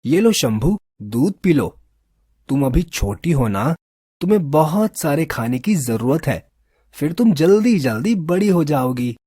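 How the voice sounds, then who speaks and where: Kind young villager for an animated story, tender and lively, husky. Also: male voice, on the high side, a little slow, no echo, studio quality, heavily acted.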